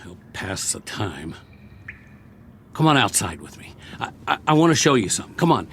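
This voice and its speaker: An actor playing a war-weary, talkative soldier in a radio drama, rambling and wry, lonely and eager to please, stammering when eager. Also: male voice, fairly low pitch, sing-song, moderate pace, a little hiss or hum. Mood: coaxing.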